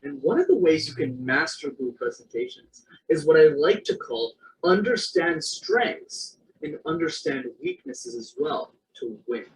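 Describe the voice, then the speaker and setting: A man speaking in a played video clip, delivering a persuasive, very animated presentation. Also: a little high, measured pace. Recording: distant mic with faint noise, played clip.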